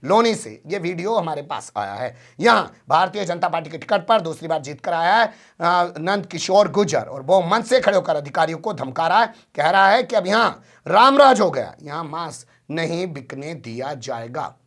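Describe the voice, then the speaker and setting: A man's voice: a little high, big pitch swings, normal speed, hardly any pauses, fairly bright tone. A man presenting news commentary in an emphatic way, posing rhetorical questions as he goes.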